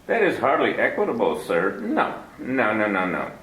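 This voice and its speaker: A florid, scheming crook full of formal flattery. His pitch swings widely as he purrs and wheedles. The radio play has a roomy echo around him. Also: normal speed, heavily acted. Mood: smooth, scheming. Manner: florid, flattering, overly formal with many sirs. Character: fat genial crook, wordy and scheming, middle-aged man. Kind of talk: 1940s radio acting.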